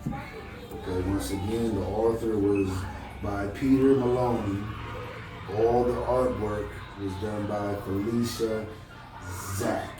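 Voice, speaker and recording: Slow-paced man reading in an echoey room. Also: fairly low pitch, steady tone. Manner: encouraging, conversational, checking understanding. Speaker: storybook reader. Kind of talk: reading aloud to a listener, pausing for questions. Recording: very echoey, distant mic, music behind.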